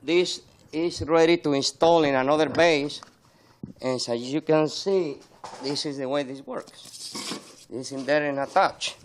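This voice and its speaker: A man's voice: fairly high pitch, measured pace, slight background noise. Older Spanish-accented man explaining his craft. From Spain, born in Andalusia, grew up in Madrid. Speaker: sculptor being interviewed. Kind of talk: explaining his work in an interview.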